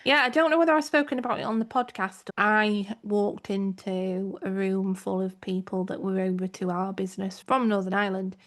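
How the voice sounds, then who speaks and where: A woman's voice: medium pitch, fairly even intonation, normal speed, few pauses. A woman, co-hosting a casual podcast and telling stories in a reflective way, often with tag questions. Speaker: podcast co-host.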